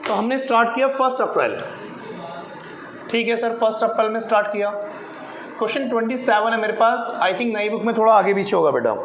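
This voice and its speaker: High-pitched male tutor, echoey room. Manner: question-and-answer teaching, answers own questions aloud; repeats key figures and phrases. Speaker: accountancy tutor. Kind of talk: classroom lesson at a board. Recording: echoey room with clear noise.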